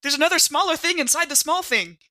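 A woman chatting casually as a player in a tabletop role-playing game, animated and a bit halting, repeating words as she thinks. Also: sits high, no room sound, clean studio sound. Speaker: player in a tabletop RPG podcast. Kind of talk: casual improvised game chat.

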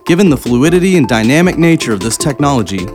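A man reads a polished promotional opening for a show, his pitch rising and falling with lively emphasis. A loud music bed plays under his voice the whole time. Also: quick pace. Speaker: show intro narrator. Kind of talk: scripted promotional narration.

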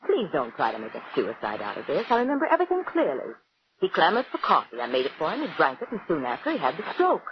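An anxious, scheming widow in an old radio thriller, defensive and high-strung. Her pitch swings widely, and the vintage recording is muffled with some hiss. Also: huge pitch swings, fast, exaggerated voice. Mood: defensive, insistent. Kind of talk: 1940s radio acting.

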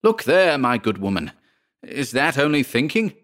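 A man playing a rattled, indignant Englishman lodging in a haunted house, speaking in a sharp, exasperated manner. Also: mid-range voice, big pitch swings, moderate pace, voice pushed up, natural voice. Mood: furious, insistent.

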